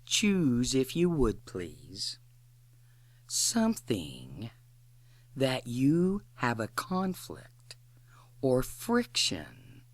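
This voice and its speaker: Very slow-paced woman guiding a meditation. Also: very deep voice, huge pitch swings, dry recording. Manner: deliberate, short phrases with long pauses. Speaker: guided imagery facilitator. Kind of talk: guided imagery meditation, instructional.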